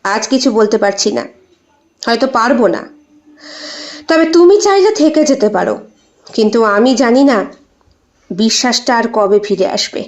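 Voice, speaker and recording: A woman voicing a stern, wounded husband, high-pitched. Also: lively intonation, moderate pace, pauses often, muffled recording, close to an everyday voice. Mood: grave, bitter. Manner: grave, reproachful, questioning. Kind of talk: dramatized audio short story.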